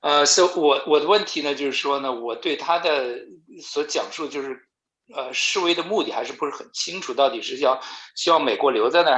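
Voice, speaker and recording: A man's voice: medium pitch, fairly bright tone, low-quality recording. A man with a Chinese accent, asking questions casually on a call and hesitantly rephrasing them as he goes.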